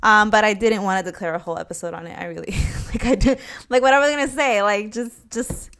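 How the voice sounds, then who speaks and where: A woman's voice: mid-range voice, fast talker, fairly bright tone. A young woman hosting her own podcast in a casual, rambling solo chat, swearing casually and sometimes slipping into Spanish. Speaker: podcast host.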